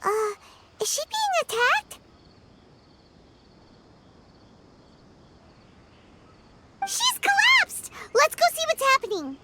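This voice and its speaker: A woman voicing a tiny, chirpy, boastful female fairy companion with a squeaky childlike voice in a video game. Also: big pitch swings, measured pace, heavy background noise, heavily acted. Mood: excited, surprised. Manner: refers to self in third person, exclaiming.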